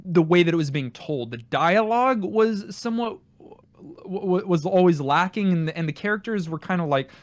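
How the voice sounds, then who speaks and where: Male podcast host, on the higher side, conversational, reads listener mail, dry recording. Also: expressive, normal speed, dry recording. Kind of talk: casual podcast talk, reading listener comments aloud.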